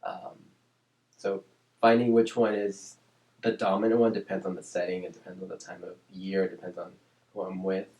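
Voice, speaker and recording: A man who grew up in Oakland, California, with Spanish as his first language, reflecting on his life in an interview at a thoughtful, measured pace with frequent ums and restarts. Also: deep voice, flat delivery, warm tone, roomy sound, rough recording. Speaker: interview guest, speech-language pathologist.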